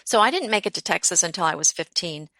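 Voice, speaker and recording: A woman's voice: lowish voice, lively intonation, fast, a crowd in the background. A middle-aged woman from the United States, a decor designer, explaining her style at length in a casual podcast chat. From United States, born in Oklahoma. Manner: explains at length, self-assured and chatty. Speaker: podcast co-host, home decor designer and blogger.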